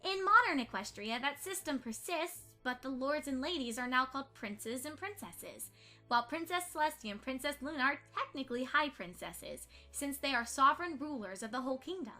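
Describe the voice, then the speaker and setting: A woman's voice: sits high, expressive, brisk, bright, forceful tone, soft background music. A woman playing a studious, precise pony who explains things in an articulate, didactic way in a fan audio drama. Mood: explanatory.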